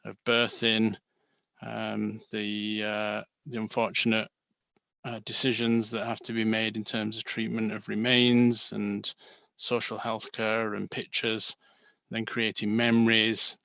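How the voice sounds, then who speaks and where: A man from Manchester, England with a Manchester accent, co-presenting a seminar in a halting way with many fillers and restarts, heard over a video call. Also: on the low side, monotone, vocal fry, pushed and bright, dry recording, muffled recording. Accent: Manchester English.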